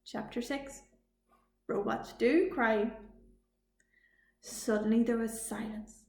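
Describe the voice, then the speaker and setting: A woman reading a children's story aloud as a library storytime reader, with expressive delivery. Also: on the high side. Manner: slow, expressive read-aloud. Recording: echoey room.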